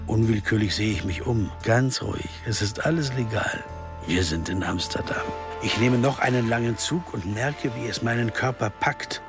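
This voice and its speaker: A man's voice: mid-range voice, lightly acted. Introspective male sleuth over music, played by an actor. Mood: dazed, uneasy. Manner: reflective, intimate storytelling. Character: troubled male American amateur sleuth, introspective, driven, restless. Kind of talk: radio drama acting with first-person narration.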